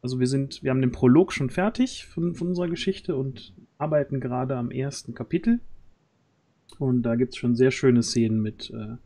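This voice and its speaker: A man in his thirties chats casually as a stream host. He has a medium-pitched voice and a jovial manner, speaking into a close microphone at home. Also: moderate pace, warm tone. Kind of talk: casual livestream chat. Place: small dark room at home. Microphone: large microphone close to the mouth.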